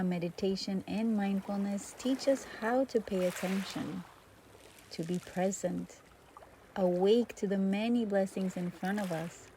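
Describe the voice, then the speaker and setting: A woman teaching meditation, guiding listeners gently through breathing and gratitude with soft pauses between phrases. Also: medium pitch, background noise. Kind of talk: guided meditation.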